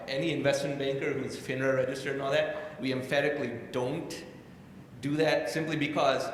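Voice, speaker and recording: A man on a panel, likely a banker, explaining rules in a measured way. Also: medium pitch. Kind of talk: panel discussion. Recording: very echoey hall, long reverb, faint noise.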